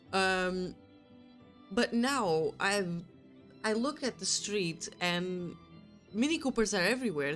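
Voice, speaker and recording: A woman's voice: lowish voice, fairly bright tone. A young woman streamer chatting casually with her viewers, her nose stuffy from a cold, rambling and laughing often. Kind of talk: casual chat with live chat.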